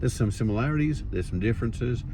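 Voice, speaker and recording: A man's voice: mid-range voice, animated, fast talker, background noise. An Australian man hosting a vlog, explaining things in a casual narrated style with the odd anecdote. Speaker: vlog host.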